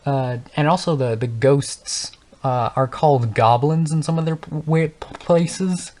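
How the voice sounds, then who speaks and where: A man's voice: on the high side, unhurried, a little mellow. A man talking off the cuff over gameplay, stuttering and stumbling over his words, with lots of fillers as he thinks aloud. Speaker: gaming channel host. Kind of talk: unscripted casual talk over gameplay.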